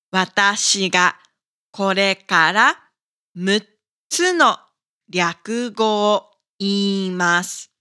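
A woman hosting a scripted language lesson for learners, speaking with careful, deliberate enunciation. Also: mid-range voice, pushed and bright. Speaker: language teacher and podcast host.

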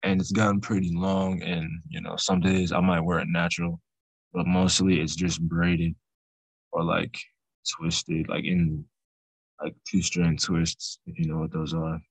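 Very deep-voiced teenage boy. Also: unhurried, creaky, dry recording, rough recording. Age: teens. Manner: relaxed, rambling storytelling. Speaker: student. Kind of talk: casual group conversation.

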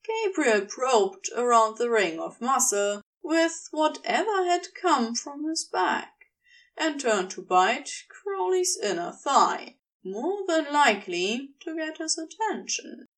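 A woman reading fiction aloud as an expressive audiobook-style narration. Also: high voice, takes it slowly, recorded from a distance. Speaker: podfic reader.

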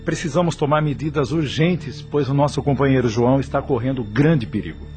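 Radio play guardian spirit, male actor: alarmed, protective, medium register over soft music. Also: medium pitch, a little fast, natural voice. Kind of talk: radio soap opera acting.

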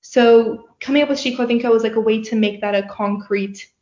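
A woman's voice: on the high side, steady tone, fairly quick, a little mellow. A teenage girl who grew up in Turkey, a guest telling her story in a casual interview, with lots of filler words. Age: teens. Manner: chatty, many "like" fillers. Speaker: guest, young company founder. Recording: roomy, dull lo-fi sound.

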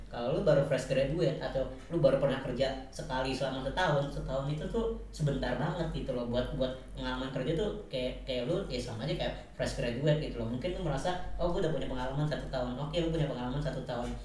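A man's voice: mid-range voice, fast talker, a little mellow. A man in his twenties with a Jakarta accent, giving casual, emphatic, slangy motivational advice and often repeating his points. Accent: Jakarta Indonesian. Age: 20s. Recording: echoey room, distant mic, faint noise.

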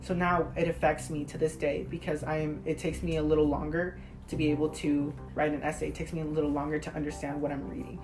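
A woman's voice: sits low, level tone, fast, music in the background, rough recording. A teenage girl, a native Spanish speaker, chatting earnestly and informally as a podcast co-host, sometimes searching for her words. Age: teens, high school student. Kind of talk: casual chat.

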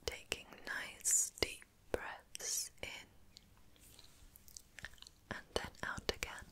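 Asmr lady whispering relaxation stuff, super slow and gentle, soft breathy sound. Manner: soothing, gentle, unhurried with repeated words. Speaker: ASMR host guiding relaxation.

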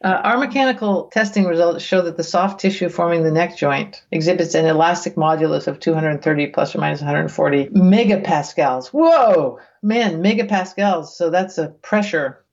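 A woman reading research aloud as a guest, with casual, bemused asides, thinking out loud in a conversational way. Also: on the low side, moderate pace, hardly any pauses, dull audio. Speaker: guest reader reacting to research papers.

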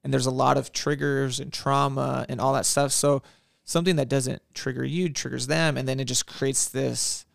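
A man's voice: mid-range voice, flat delivery, moderate pace, gravelly, no echo, clean studio sound. A man hosting a podcast, interviewing guests in a casual conversational style. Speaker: podcast host. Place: office, close dry sound.

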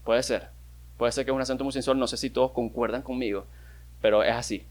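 A young Venezuelan man chats alone into a podcast mic in a loose, rambling way. He jokes, swears freely and stumbles into restarts mid-thought. His pitch sits mid-range for a man. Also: steady tone, fast. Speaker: podcast host. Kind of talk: casual solo podcast monologue.

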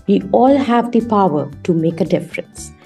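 A woman's voice: medium pitch, animated, moderate pace, vocal fry, dark tone, slightly rough, soft background music. A woman from India, a school coordinator and language teacher, giving an earnest, prepared introduction. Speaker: school coordinator and ESL educator.

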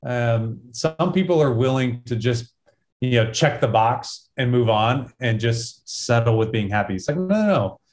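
Guy from texas on a podcast, mid pitch, earnest advice, short casual phrases. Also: expressive, a little slow, a little mellow. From Texas, United States. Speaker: podcast guest. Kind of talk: casual conversational podcast talk.

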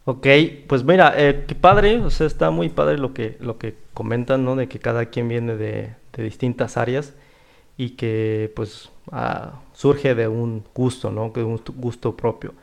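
A male host with a Mexican accent and a noticeably creaky, gravelly voice. He interviews in a casual, conversational style, rambling along and often tagging his phrases with a questioning check. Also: medium pitch, a little slow. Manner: rambling, often seeking agreement with tag questions. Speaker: podcast host.

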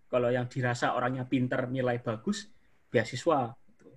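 Young man from Indonesia, husky voice, casual interview guest, chatty and relaxed. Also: medium pitch, normal speed. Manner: relaxed, chatty, hedging with fillers. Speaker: student abroad interviewed as guest.

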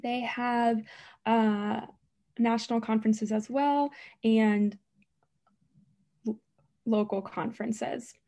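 A woman who stutters speaks in an unhurried, deliberate way, often repeating sounds and words. As a speech therapist and interview guest, she gives earnest, emphatic answers. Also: fairly high pitch, fairly even intonation, dark tone. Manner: stutters, with repetitions and blocks. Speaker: guest, speech-language pathologist.

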